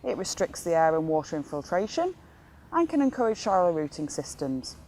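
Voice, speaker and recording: A woman's voice: lowish voice, sing-song, fairly quick, darkish tone, a little hiss or hum, poor audio. A woman giving scripted instructional narration as an instructor in an educational film.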